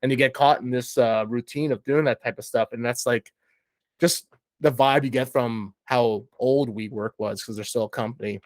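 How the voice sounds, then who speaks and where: A man's voice: medium pitch, fairly even intonation, normal speed, dry recording. A man chats casually as a podcast guest, blunt and slangy. He rambles through his points with plenty of filler words in a mid-range voice. The recording is close, with little room sound. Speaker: podcast guest reviewing a TV show.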